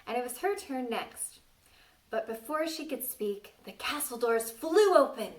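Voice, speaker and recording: Slow-paced woman reading a children's story. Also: on the high side, lively intonation. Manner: asks the children frequent questions, exclaims playfully. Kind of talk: interactive read-aloud storytelling for young children. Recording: distant microphone.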